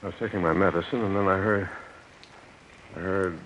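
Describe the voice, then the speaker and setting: A man playing a stubborn, weakened crime victim in an old radio drama, speaking haltingly and testily. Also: low voice, steady tone, fairly bright tone, rough recording. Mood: strained. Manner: halting, labored, testy. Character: irascible injured gentleman, robbery victim, stubborn and weakened. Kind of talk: old-time radio suspense acting. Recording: vintage radio with faint rain noise.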